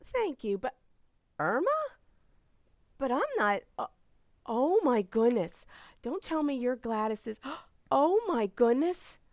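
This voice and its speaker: A woman's voice: a little high, very animated, takes it slowly, pauses often, darkish tone, no room sound, clean studio sound, full character voice. A woman acting a polite, proper, easily flustered young daughter in a radio comedy.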